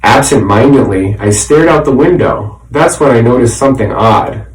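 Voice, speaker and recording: A man reading a children's story aloud in an animated, dramatic way, with explanatory asides. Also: medium pitch, normal speed. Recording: roomy echo, distant microphone.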